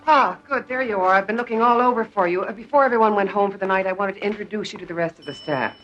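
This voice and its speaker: Woman acting a composed, mid-pitched psychiatric nurse. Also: quick pace, fairly bright tone, muffled recording, soft background music, close to an everyday voice. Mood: brisk. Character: experienced female psychiatric nurse, composed and concerned. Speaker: actor in a television drama. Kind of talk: naturalistic television drama dialogue.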